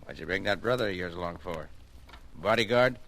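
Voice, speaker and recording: A man's voice: low voice, a little fast, a bit bright, slight background noise, rough recording. An actor playing a surly, defensive man, curt and defiant, in an old-time radio drama. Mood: defiant, irritated.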